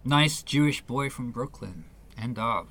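Man acting earnest, bright-voiced New man. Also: mid-range voice, lively intonation, unhurried. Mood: guarded, easygoing. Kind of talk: radio play acting.

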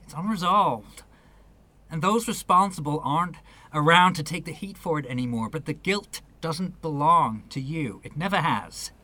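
Man acting earnest, bright-voiced New man. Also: on the high side, moderate pace. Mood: earnest, reasoning. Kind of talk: radio play acting.

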